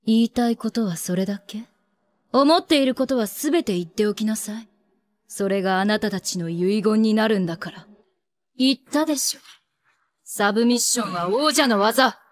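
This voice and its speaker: A woman's voice: medium pitch, normal speed, no echo, close to an everyday voice. A woman voicing a cold, merciless teenage princess in an anime, imperious, taunting and grandiose. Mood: menacing, triumphant. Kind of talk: anime voice acting.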